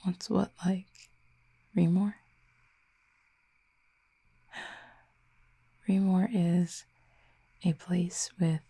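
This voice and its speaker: A soft-spoken cupid cast out of her home realm, voiced by a woman in an audio roleplay, low and breathy with a dark, mellow tone. She talks slowly and quietly, sometimes close to a whisper, and her pitch barely moves. She stays gentle and wistful throughout. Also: full character voice. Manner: soft, hushed and slow, often near a whisper.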